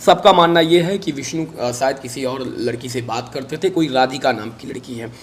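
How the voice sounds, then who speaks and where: A man's voice: mid-range voice, normal speed. A man giving unscripted interview answers in an emphatic way, repeating phrases for weight. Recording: noisy recording with background ambience.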